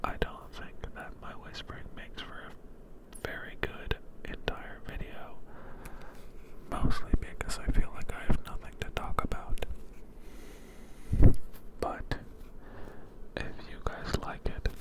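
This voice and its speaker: An ASMR creator at home, whispering softly in a meandering ramble, with a sore throat and a sniffly nose, into a handheld field recorder. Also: low-quality recording. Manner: soft, meandering, unhurried whisper. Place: home, indoors.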